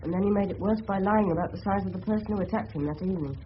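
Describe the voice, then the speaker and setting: A dull old radio drama recording with faint hiss carries an actor as an English teenage boy. He is polite and earnest, speaking respectfully in a middling-pitched youthful voice. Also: rapid-fire, close to an everyday voice. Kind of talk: 1970s British radio drama acting.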